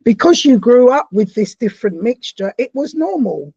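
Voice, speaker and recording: A woman from South America hosting a podcast interview, stopping and restarting her phrases and breaking into bright, lively exclamations. Her voice has a husky edge. The sound is dry and somewhat dull. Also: on the high side, no echo. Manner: halting, repeats words, animated exclamations. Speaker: podcast host. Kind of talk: conversational interview.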